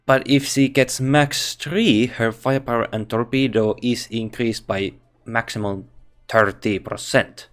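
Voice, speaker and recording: A man with a Finnish accent reviewing a game character, thinking aloud in halting English with frequent restarts. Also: mid-range voice, fairly even intonation, soft background music. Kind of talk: casual game commentary.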